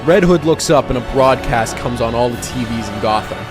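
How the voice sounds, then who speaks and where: Male narrator, medium pitch, scripted motion comic storytelling, music clearly behind. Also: fairly quick.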